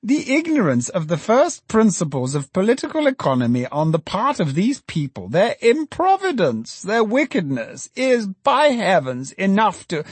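A man voicing a fussy, scornful middle-aged male economist in a storybook reading, high and exclaiming. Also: wildly swooping pitch, normal speed, hardly any pauses, slightly rough, no echo, exaggerated voice. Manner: high-pitched, exclaiming, contemptuous.

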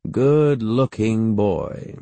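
A man voicing a big, loud old great-aunt in a storybook, teasing and menacing, with bellowing, probing questions. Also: animated, drawn-out words, no echo, full character voice. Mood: leering, probing. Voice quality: deep-throated.

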